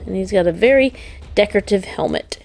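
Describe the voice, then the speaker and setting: A woman's voice: mid-range voice, lively intonation, fairly quick, gravelly, a little mellow. A woman co-hosting a casual unboxing show, chatty and enthusiastic as she points out details. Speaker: co-host of a toy unboxing show. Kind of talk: casual product show-and-tell. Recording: faint background noise.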